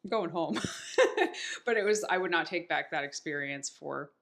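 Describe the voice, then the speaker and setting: Woman, 30s, podcast host, somewhat low pitch, chatty storytelling. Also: normal speed, fairly bright tone. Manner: chatty and upbeat, tells stories with quoted asides. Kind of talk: casual chat.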